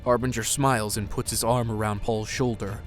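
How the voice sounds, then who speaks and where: A man's voice: on the low side, fairly even intonation, moderate pace, soft background music, close to an everyday voice. A man narrating an audio drama, reading scripted scene action in the present tense.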